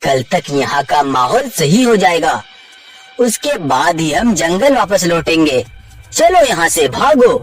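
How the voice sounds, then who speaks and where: A man voicing an earnest talking jungle animal in a children's cartoon, with declamatory, deliberate delivery. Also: a little high, sing-song, exaggerated voice. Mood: fearful. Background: background music.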